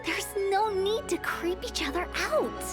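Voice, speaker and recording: A woman's voice: extremely high pitch, expressive, unhurried. A woman voicing a playful, shrewd young female funeral parlor director in a game, confident and mischievous. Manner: confident, mischievous, talks down worries. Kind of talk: game voice acting. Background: game music.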